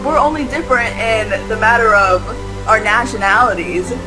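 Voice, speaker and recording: Rambling speaker over loud music. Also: mid-range voice, expressive, moderate pace, rough recording. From United States. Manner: rambling, full of "like" and restarts. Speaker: interviewee. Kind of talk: rambling casual chat. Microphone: video call.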